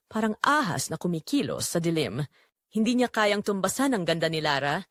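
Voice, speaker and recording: A woman with a Filipino accent narrates a scripted story dramatically, in measured, suspenseful short phrases. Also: lowish voice, no room sound, clean studio sound.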